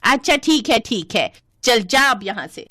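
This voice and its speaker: A woman voicing a grumpy, stingy, devout old village woman who scolds and exclaims in an animated moral story. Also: fairly high pitch, a little slow, no room sound, studio quality, exaggerated voice. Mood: snappish, suspicious.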